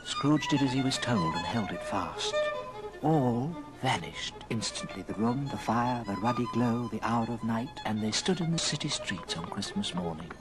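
A man narrating a dramatic story in a theatrical, measured old radio style. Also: mid-range voice, expressive, rough recording. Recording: old record, music behind.

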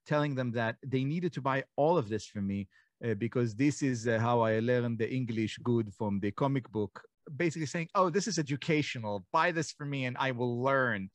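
A middle-aged man from Israel, speaking from a home study on a video call, telling stories thoughtfully with many restarts and lively quoted voices. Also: medium pitch, moderate pace, no room sound, studio quality. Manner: reflective storyteller, many restarts and fillers, animated quoting. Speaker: author and guest speaker. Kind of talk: online lecture conversation. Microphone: desk mic on a video call.

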